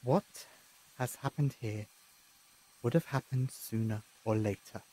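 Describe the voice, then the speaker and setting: A man acting a gentle, paternal, eccentric time-travelling Doctor in an audio drama, with measured, theatrical delivery and pauses. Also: medium pitch, steady tone, natural voice, low-quality recording. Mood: grave.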